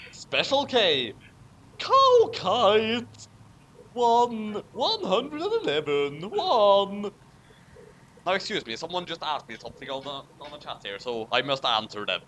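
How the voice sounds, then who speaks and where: A person giving a dramatic amateur reading, halting and stumbling over words, with casual asides. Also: mid-range voice, a bit bright, a little hiss or hum. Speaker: reader of a fanfic.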